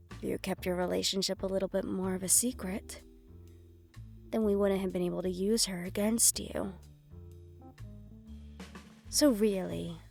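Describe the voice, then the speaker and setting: A woman's voice: mid-range voice, creaky, darkish tone, natural voice. A woman voicing a composed, condescending female mafia underboss in an audio roleplay, mock-polite and coolly threatening.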